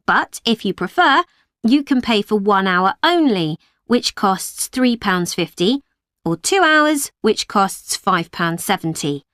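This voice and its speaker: Smooth-voiced woman giving a welcome talk. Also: mid-range voice, sing-song, takes it slowly, a bit bright, dry recording, clean studio sound. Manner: polite, clear, informative. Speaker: childcare manager. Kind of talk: scripted talk to parents. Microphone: studio microphone, dry.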